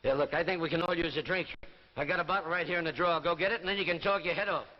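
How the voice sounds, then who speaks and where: An actor playing a gruff, overworked magazine editor, blunt and sardonic, in 1950s television drama style. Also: male voice, a little high, very fast, natural voice. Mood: relieved, conciliatory. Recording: very noisy, muffled old television soundtrack.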